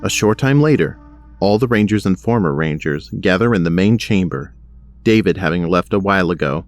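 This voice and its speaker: A man's voice: sits low, lively intonation, normal speed. A man narrating a superhero audio drama in a scripted storytelling style. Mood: measured. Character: male narrator of a superhero adventure.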